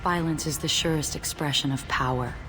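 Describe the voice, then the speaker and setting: Woman acting as predatory alien temptress, low-pitched, sultry, quietly menacing, video game dialogue, loud music behind. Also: close to an everyday voice, poor audio. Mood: cool allure. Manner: sultry, slow-burning, softly menacing.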